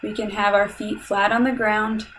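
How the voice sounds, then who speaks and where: A woman leading a guided meditation in a calm, soothing, measured way, leaving long pauses between instructions. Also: medium pitch, slight background noise, low-quality recording.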